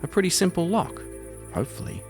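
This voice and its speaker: A man's voice: high voice, lively intonation, fast, music in the background, voice pushed up. A man voicing a wary, terse and irritable heroine in a post-apocalyptic audio drama. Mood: tense, calculating.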